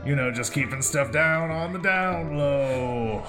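A woman playing a meek, eager-to-please advisor to a villain, halting and agreeable in a comedic radio play. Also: deep voice, over music. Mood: sheepish.

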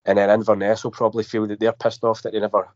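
A Scottish man with a cold and a slightly croaky voice, chatting as a football podcast panelist, stumbling over repeated words. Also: on the low side, flat delivery, quick pace, darkish tone, dry recording. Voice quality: a bit croaky. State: has a cold. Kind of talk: casual football podcast chat. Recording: dry, dull lo-fi podcast audio.

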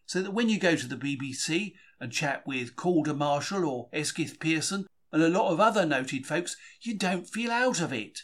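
A man's voice: on the high side, lively intonation, normal speed, few pauses. A man reading a first-person diary from a script in a conversational, confiding storytelling manner. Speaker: audiobook-style reader of a diary.

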